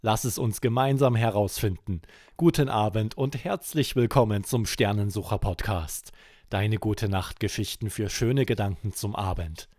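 A man hosting a children's podcast, narrating a scripted bedtime story in an expressive, deliberate way. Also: lowish voice, no echo, clean studio sound.

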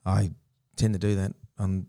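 Aussie bloke hosting a chat podcast, lowish voice, laughs a lot. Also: male voice, monotone, normal speed, warm tone. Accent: Australian. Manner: chatty, laughs easily, restarts sentences. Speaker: podcast host. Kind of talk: casual chat.

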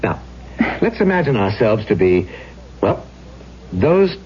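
An actor playing a smitten, obsessive ghost of a jilted man in a 1970s radio drama, halting and insistent, repeating his words with breathy intensity. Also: on the low side, big pitch swings. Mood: eager, evasive. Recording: dull vintage radio recording with music.

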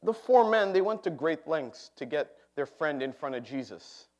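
A man delivers a sermon in a church sanctuary. He is animated and humorous, joking with the congregation as he preaches. His voice sits a little higher than most men's. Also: normal speed, highs cut off. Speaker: pastor.

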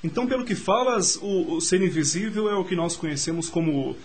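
A man's voice: sits high, lively intonation, brisk, husky. A man acting in a radio drama as an eager, curious nineteenth-century French nobleman, with formal old-fashioned diction.

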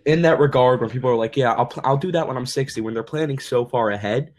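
A man's voice: mid-range voice, fast. A young man hosting a casual podcast, rambling through his thoughts with lots of "like" fillers. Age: young adult. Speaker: podcast host. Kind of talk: casual chat.

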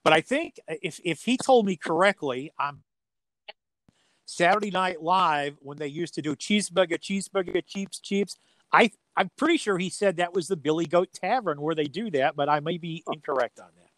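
A man's voice: on the high side, normal speed, a bit bright, no echo, low-quality recording. A man speaking as a sports announcer being interviewed, chatting casually in a folksy, joking way with dry humour. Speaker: radio sports play-by-play announcer as interview guest.

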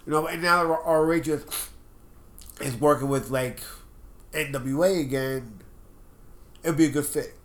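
A man's voice: on the high side, steady tone. Stuffed-up man giving strong opinions. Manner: opinionated, talks at length in quick bursts. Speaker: podcast co-host. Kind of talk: casual wrestling chat.

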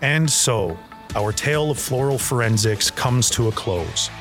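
A man's voice: medium pitch, expressive, measured pace, over music, close to an everyday voice. A man playing a solemn narrator, telling a dramatic scripted radio mystery. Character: solemn storyteller of a crime tale.

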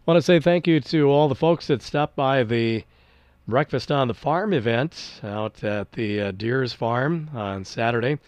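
A middle-aged man hosting a local radio call-in show in a studio, hoarse and raspy from congested sinuses, talking in an easygoing, chatty way. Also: mid-range voice, a little slow, darkish tone, dry recording. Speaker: radio host. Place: small-town radio studio. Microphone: studio microphone.

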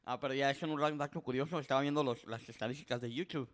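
Rambling twenty-something host. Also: male voice, medium pitch, steady tone, quick pace. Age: 20s. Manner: rambling storyteller, many filler words, switches between Spanish and English. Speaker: podcast host. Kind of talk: casual bilingual chat.

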